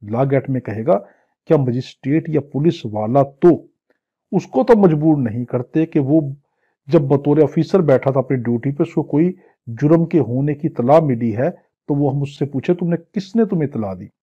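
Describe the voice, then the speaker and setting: Husky Pakistani man lecturing. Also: medium pitch, animated, moderate pace, warm tone. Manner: didactic, translating phrase by phrase, with acted-out examples. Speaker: law teacher. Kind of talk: exam-prep lecture, reading legal text and explaining it. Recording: dull, lo-fi lecture audio.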